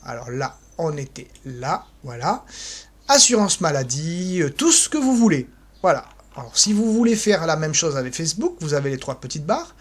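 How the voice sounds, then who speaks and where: A speaker guides listeners through a phone setup one step at a time. The delivery is hesitant and halting, padded with "alors" and "euh", with small mouthed click noises at each tap. The pitch sits in an ordinary middle range. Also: big pitch swings. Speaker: tutorial presenter for an association.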